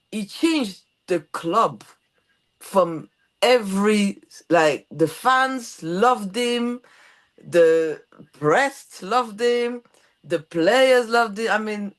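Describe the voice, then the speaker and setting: Lively Portuguese-accented woman host. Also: medium pitch, slow pace. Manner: animated, storytelling with quoted voices. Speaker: podcast host. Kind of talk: casual interview chat.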